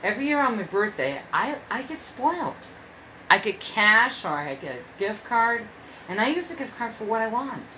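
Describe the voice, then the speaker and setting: A woman's voice: on the high side, big pitch swings, moderate pace, pushed and bright, slight background noise, poor audio. Rambling woman in her fifties hosting. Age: 50s. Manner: rambling, repeats herself and restarts. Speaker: podcast host. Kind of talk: casual solo podcast chat. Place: her room at home.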